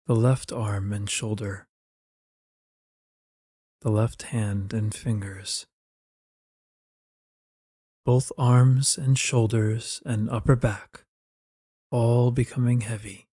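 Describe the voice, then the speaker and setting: Slow, mellow man guiding meditation. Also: mid-range voice, level tone, slightly rough, dry recording, clean studio sound. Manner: gentle, unhurried instruction with soft phrasing. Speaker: guided meditation narrator. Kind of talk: slow soothing guided meditation, scripted.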